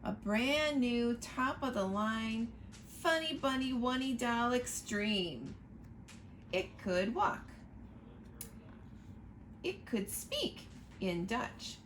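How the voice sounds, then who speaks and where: A woman reading a picture book aloud to children, slow and expressive, pointing out the pictures as she goes. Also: on the high side, a little hiss or hum. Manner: slow, expressive storytime reading, asking listeners to look at pictures.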